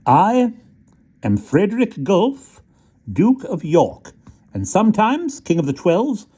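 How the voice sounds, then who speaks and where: A man's voice: wildly swooping pitch, takes it slowly, warm tone, slightly rough, heavily acted. A man voicing a small, brave wooden toy soldier who speaks loftily and formally. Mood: lofty. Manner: lofty, formal, high-pitched.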